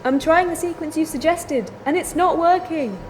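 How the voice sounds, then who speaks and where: Woman acting level-headed wry young gal, high pitched, big echo, music behind her. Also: moderate pace, very noisy. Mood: urgent. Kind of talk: fan audio drama acting. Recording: long reverb, music behind.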